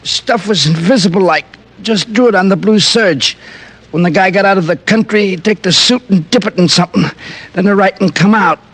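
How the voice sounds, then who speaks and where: An actor playing a wounded small-time crook in a 1950s radio crime drama, grudging, terse and slangy. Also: male voice, sits high, brisk, a bit bright, breathy edge, full character voice, poor audio. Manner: terse, slangy gangster talk.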